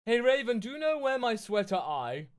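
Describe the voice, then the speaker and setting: A man voicing a melodramatic, crude teenage goth girl in a shrill, overwrought way. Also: very animated, moderate pace, clear, resonant tone. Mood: whiny. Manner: shrill, breathless, overwrought delivery.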